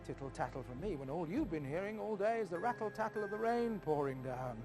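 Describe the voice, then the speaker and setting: A man's voice: sits high, animated, fast talker, poor audio. An actor playing a troubled, evasive man in a 1990s screen drama, speaking in a hesitant, clipped way. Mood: awkward. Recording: film soundtrack with music.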